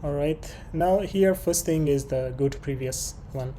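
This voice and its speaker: Medium-pitched voice, programming tutorial presenter, halting, thinking aloud, clear background noise, keyboard typing. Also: dark tone. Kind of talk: unscripted screen-share coding tutorial.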